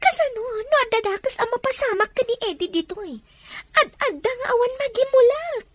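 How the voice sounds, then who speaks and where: A woman's voice: extremely high pitch, lively intonation, fairly quick. A woman voicing a worried wife in a radio drama, who talks in an emotional, tearful way.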